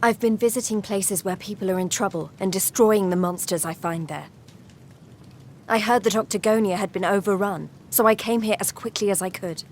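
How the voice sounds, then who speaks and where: Actress as determined young fighter heroine for a game; composed, firm delivery at a middle pitch. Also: female voice, moderate pace, slight background noise, natural voice. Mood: resolute. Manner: firm, composed, disdainful of villains. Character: resolute stern young woman martial artist. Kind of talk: video game heroine acting.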